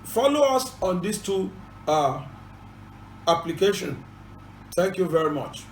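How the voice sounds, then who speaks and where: A Yoruba-accented man hosting an informative channel speaks in a notably high voice, earnest and formal, repeating each point carefully. His voice rings in a roomy space with a faint hum of ambience behind him. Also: lively intonation, measured pace. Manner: earnest, repetitive, formal instructions. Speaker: channel host and presenter. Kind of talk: informative bilingual presentation.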